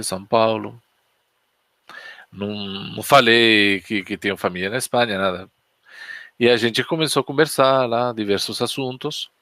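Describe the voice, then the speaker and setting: A man's voice: mid-range voice, fairly bright tone, no room sound. A man with a Spanish accent, a native Spanish speaker, teaching Spanish in a chatty, anecdotal style and putting questions to his viewers. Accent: Spanish from Spain. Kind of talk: casual storytelling lesson on a live stream.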